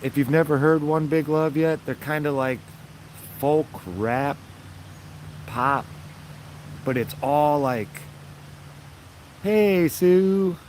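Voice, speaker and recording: A man with a raspy voice, casually chatting to his viewers in a rambling, upbeat way. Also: fairly high pitch, slow, pauses often, darkish tone, slight background noise. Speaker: vlogger.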